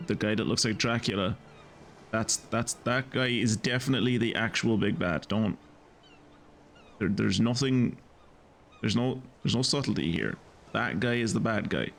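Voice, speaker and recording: A man with a creaky, mid-pitched voice gives live game commentary. He is irreverent and sarcastic, joking over faint game music. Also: normal speed. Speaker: game streamer.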